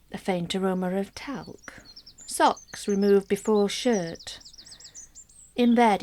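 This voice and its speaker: Slow, wistful married woman, played by an actress. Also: lowish voice, darkish tone, a little hiss or hum, lightly acted. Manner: reflective, measured, intimate, dropping to near-whisper. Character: wistful married mother narrating her private longings. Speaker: actor in a solo radio play. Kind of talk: gentle dramatic monologue.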